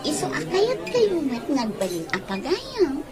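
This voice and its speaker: A woman's voice: sits high, huge pitch swings, measured pace, a crowd in the background, heavily acted. A woman playing a friendly adult lady in a radio drama, gushing and drawing out her words, with bustle behind her.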